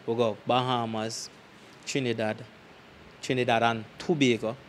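A man's voice: mid-range voice, level tone, a little slow. Jamaican man storytelling over background noise. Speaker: interview guest, dancer recalling tours. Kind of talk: casual anecdotal storytelling in patois. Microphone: handheld microphone. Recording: clear background noise and ambience.

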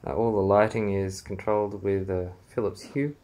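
A man gives a casual, halting walkthrough of his small basement home theater, filming on a handheld phone as he walks. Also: fairly low pitch, steady tone, warm tone. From Australia. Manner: unhurried, halting with many fillers. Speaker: home theater owner giving a room tour. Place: small basement room, indoors. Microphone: handheld phone camera, filming while walking.

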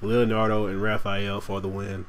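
A man's voice: fairly low pitch, monotone, normal speed, slight background noise. A man with a cold giving loose, joking gameplay commentary, swearing now and then. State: has a cold, sniffly. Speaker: let's play gamer. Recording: voice over game audio with music.

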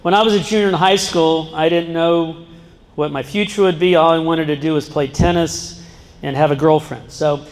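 A man's voice: fairly high pitch, fairly even intonation, moderate pace. A middle-aged man giving an earnest talk to students, holding a microphone in a large school gym, sometimes breaking off and restarting and joking with his listeners. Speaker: guest speaker. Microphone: handheld microphone. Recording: long reverb in a large hall. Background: seated student audience.

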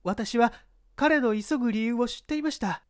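A woman acting young female witness, high-pitched, polite, formal, composed, dry close sound, mystery audiobook. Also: normal speed, dry recording, close to an everyday voice. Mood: earnest, explaining. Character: composed young woman giving testimony to police. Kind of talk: dramatized mystery story reading.

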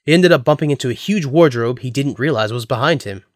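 A man's voice: medium pitch, quick pace, no echo. Man narrating, dry close recording. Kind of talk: scripted story narration.

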